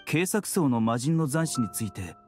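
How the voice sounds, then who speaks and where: Man playing a young anime game doctor, mid-pitched male voice, soft-spoken, polite, unhurried, light music behind. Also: big pitch swings, close to an everyday voice. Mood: grave, measured. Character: gentle, composed young male healer, courteous and measured. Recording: studio voice over background music.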